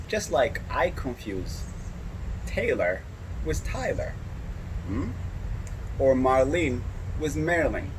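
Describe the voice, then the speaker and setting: A man's voice: on the high side, background noise. A man hosting a casual interview, playful and teasing. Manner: playful, jokes and teases. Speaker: host.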